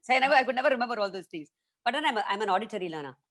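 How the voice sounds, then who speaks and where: A woman with an Indian English accent, a professional storyteller chatting as a guest on a video call, animated, slipping into voices for the people she quotes. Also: fairly high pitch, rapid-fire. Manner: animated, acts out quoted voices, laughs often. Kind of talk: casual interview chat.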